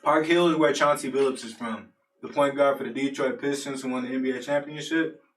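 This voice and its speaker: A man's voice: medium pitch, steady tone, fairly quick, a bit bright. Young man, roomy distant sound. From Colorado, USA. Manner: easygoing, explains at length, slangy. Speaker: co-host. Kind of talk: casual group chat over food. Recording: roomy, distant mic, faint noise.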